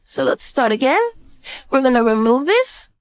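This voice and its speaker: High-voiced woman teaching casually, dry sound. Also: very animated, normal speed, no echo. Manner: informal, thinking aloud step by step. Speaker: design instructor. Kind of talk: casual screen-share software tutorial.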